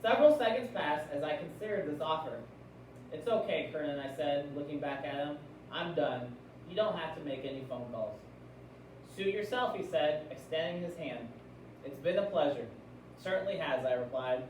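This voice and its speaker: A man's voice: on the high side, expressive, normal speed. An American man speaking as a teacher in a classroom, reading aloud and explaining, often checking in with questions. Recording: very echoey room, distant mic, noisy.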